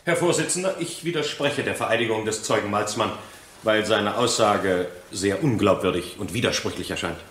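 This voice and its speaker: A man's voice: lowish voice, normal speed, fairly bright tone, close to an everyday voice. An actor playing a sceptical male defence lawyer in a radio crime drama, formal and challenging. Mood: formal objection. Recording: roomy, echoing courtroom sound.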